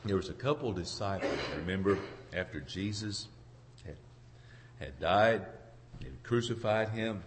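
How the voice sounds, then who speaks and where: A hoarse man preaching an informal sermon in a halting, folksy way, searching for his words and clearing his throat. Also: low-pitched, lively intonation, noisy background. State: hoarse from a cold. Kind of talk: informal sermon with congregation responses.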